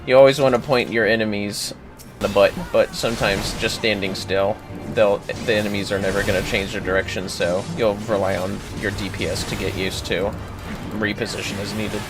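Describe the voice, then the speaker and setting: Man, low voice, game streamer, casual tutorial commentary, informal and sweary, music behind. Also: flat delivery, moderate pace. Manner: informal, swears, explains step by step. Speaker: livestreamer, gaming host. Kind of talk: casual gameplay commentary and coaching.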